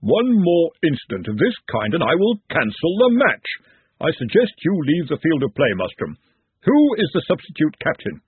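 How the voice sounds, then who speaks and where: A man voicing a stern, commanding older male referee, husky in tone. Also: fairly high pitch, wildly swooping pitch, normal speed, no room sound, pitched above the performer's own voice, full character voice. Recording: tinny phone-like band.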